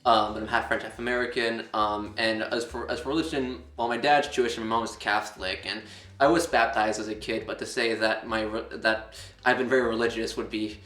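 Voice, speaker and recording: A man from London, a podcast guest who talks in a halting way with restarts. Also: lowish voice, fairly even intonation, fairly bright tone, echoey room. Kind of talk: casual personal account.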